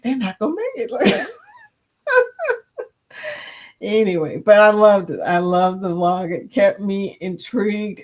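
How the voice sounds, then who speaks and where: A woman's voice: lowish voice, a little mellow. Woman, middle-aged, live chat host, chatty, laughs often, reads comments aloud. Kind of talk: casual live chat, reading viewer comments.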